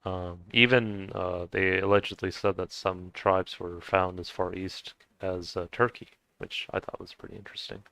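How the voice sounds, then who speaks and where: A man's voice: sits low, level tone, normal speed, gravelly, few pauses, sharp tone, no echo. A young man talking in a casual, hesitant monologue with many fillers and restarts. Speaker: vlogger.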